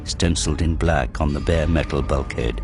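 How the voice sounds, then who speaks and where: Very deep-voiced male dramatic narrator. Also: normal speed. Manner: intense, grave, literary delivery. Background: prominent music and sound effects.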